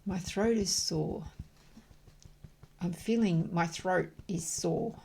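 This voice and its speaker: A middle-aged woman facilitating emotional freedom tapping, repeating affirmation phrases slowly and rhythmically between calm, chatty explanations. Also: lowish voice, creaky, breathy edge. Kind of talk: guided tapping demonstration.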